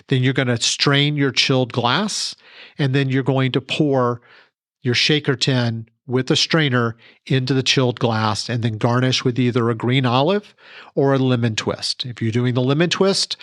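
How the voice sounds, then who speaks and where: A man's voice: medium pitch, a bit bright, no room sound, clean studio sound. A man reading a scripted instructional segment as a podcast reporter.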